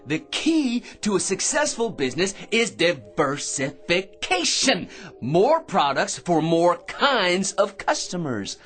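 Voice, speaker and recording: A man's voice: high voice, big pitch swings, slow pace, fairly bright tone, faint music behind, exaggerated voice. An actor playing an eager, self-important American office bore who rambles and laughs at his own remarks in a radio drama. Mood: eager, overexcited.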